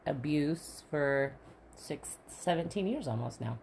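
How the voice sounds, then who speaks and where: Woman with a lowish voice, laid-back podcast co-host, self-deprecating rambler. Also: expressive, slow pace, gravelly, darkish tone, a little hiss or hum. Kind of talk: casual podcast chat.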